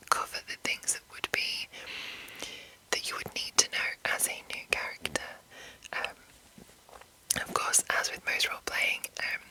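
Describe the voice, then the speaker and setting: A woman host whispering in an unhurried, informal, rambling ASMR style as she gives an introduction. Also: pushed and bright, low-quality recording.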